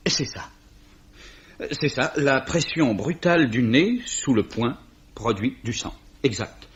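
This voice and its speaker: An actor playing a courteous, earnest young clerk, slightly hesitant and mildly wry, in a 1950s-style radio mystery. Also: male voice, medium pitch, lively intonation, takes it slowly. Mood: earnest, defensive. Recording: dull, muffled old radio recording.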